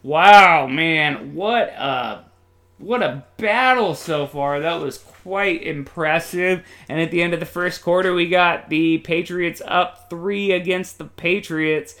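A man's voice: on the high side, takes it slowly, a bit bright. A man doing play-by-play football commentary in a colloquial, jokey, exclamatory style. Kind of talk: excited sports commentary.

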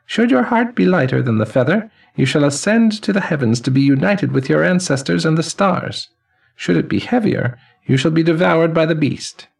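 A man voicing an elderly male judge of the dead, with a chiming voice and gentle, formal speech. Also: on the high side, animated, creaky, slightly rough, recorded from a distance, pitched above the performer's own voice, heavily acted. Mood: solemn. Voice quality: chiming, bell-like voice. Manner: gentle, formal, unhurried pronouncements. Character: ancient male judge of the dead, calm and pleased.

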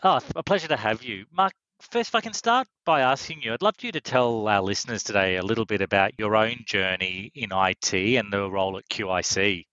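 A man's voice: lowish voice, a little fast, bright, forceful tone, dry recording, lo-fi sound. A man hosting a company podcast, polished and upbeat, reading a scripted introduction and asking questions. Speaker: podcast host, marketing manager.

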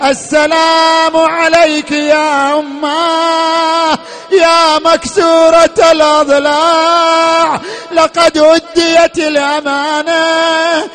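Man reciting as a grieving, pleading sister, high-pitched. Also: completely flat delivery, slow, fairly bright tone, faint music behind, exaggerated voice. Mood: solemn grief. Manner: pleading, sorrowful, half-chanted. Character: grieving, fearful, devoted sister bidding farewell. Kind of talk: religious lament storytelling.

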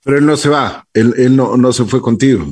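A man's voice: mid-range voice, a little fast, a little mellow, husky. A man hosting an interview, interrupting with short reactions and follow-up questions. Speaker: interviewer host. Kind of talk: conversational interview.

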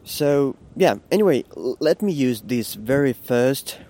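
A man's voice: mid-range voice, lively intonation, a little slow, dark tone. A Ukrainian man in his late twenties hosting a podcast, talking candidly while he walks to work outdoors, with fillers and restarts. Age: 20s. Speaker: podcast host. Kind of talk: casual walking monologue. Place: outdoors on a city street, walking.

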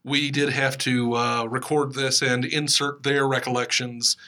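A man's voice: mid-range voice, flat delivery, unhurried, bright, forceful tone. A man hosting a podcast in a casual, behind-the-scenes chat style. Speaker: podcast host.

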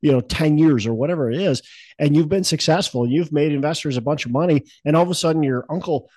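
A man's voice: fairly high pitch, quick pace, darkish tone, no echo. A man hosting a podcast in casual chat, with a stuffy nose and a cough, rambling with many fillers and restarts. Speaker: podcast host.

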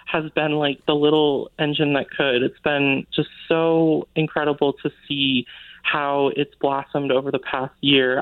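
A man's voice: a little high, no room sound. An American man from Connecticut, a guest author talking casually and informally in an interview, with lots of filler "like". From Connecticut, United States. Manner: informal, peppers speech with "like". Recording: narrow, tinny phone-like line.

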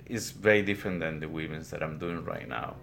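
An older man with a Guatemalan Spanish accent recounts his life as a weaver in an interview, speaking plainly and haltingly in his studio. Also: a bass voice, expressive, vocal fry, a bit bright, a little hiss or hum. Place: weaving studio, indoors.

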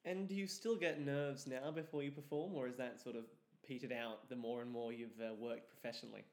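A man's voice: mid-range voice, steady tone, fairly quick. A man, hosting an interview and asking prepared questions. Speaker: interview host.

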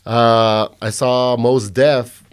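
A middle-aged man from the American Southwest chats on a podcast in a loose, profane, wandering way. He is a little drunk and hungover, and his voice sits at an ordinary pitch for a man. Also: level tone, very slow, clean tone. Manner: loose, profane, rambling storytelling. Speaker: podcast host. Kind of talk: casual chat.

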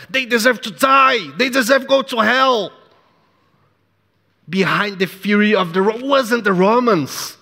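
A man preaching a sermon in accented English, a non-native speaker who acts out voices dramatically. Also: sharp tone. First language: not English. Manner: dramatic, acts out the devil's voice in low tones. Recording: long hall reverb.

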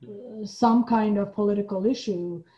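A woman from Iran speaking as a guest in a reflective interview, thoughtful and halting, often checking in with the listener. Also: medium pitch, dark tone, muffled recording. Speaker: podcast guest, playwright and theater director.

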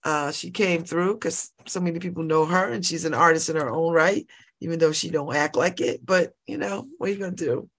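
A woman's voice: deep voice, a little fast. A woman in her late fifties hosting a morning radio show, chatting casually and exuberantly, laughing often and repeating herself as she talks. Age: 50s. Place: radio studio.

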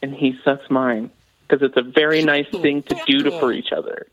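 Brief: man as a deadpan son scolding his dad, steady mid pitch. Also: normal speed, highs cut off, lightly acted. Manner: blunt, deadpan sarcasm. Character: sarcastic young adult son abroad. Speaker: caller character. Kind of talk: improvised comedy phone call.

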